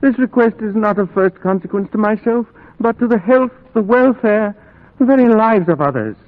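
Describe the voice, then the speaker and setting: Thin old radio recording, man acting courteous desperate madman, high voice, formal, imploring, horror drama. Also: normal speed, narrow, tinny audio, lightly acted. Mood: earnest pleading. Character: pleading madman, courteous, desperately insisting he is sane. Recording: band-limited 1940s radio recording.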